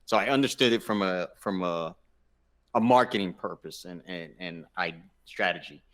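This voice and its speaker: A man's voice: low-pitched, moderate pace, a bit bright. A man co-hosting a gaming show, talking casually and emphatically with his fellow panelists, often repeating himself for stress.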